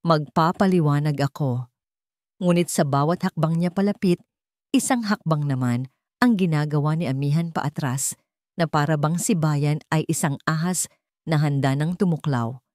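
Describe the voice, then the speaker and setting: Lower-pitched woman narrating romantic drama, dry sound. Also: moderate pace, a little mellow, dry recording. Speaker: story narrator.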